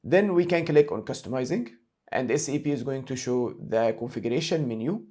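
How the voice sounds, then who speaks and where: A man narrating a screen-share software tutorial as an instructor, explaining each step methodically and repeating key points. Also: mid-range voice, expressive, fairly quick.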